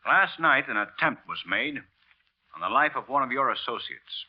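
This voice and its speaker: A man playing a sharp, alert young police detective who makes clipped, deliberate official announcements in a 1940s radio drama. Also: mid-range voice, big pitch swings. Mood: brisk, probing. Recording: dull old-time radio recording.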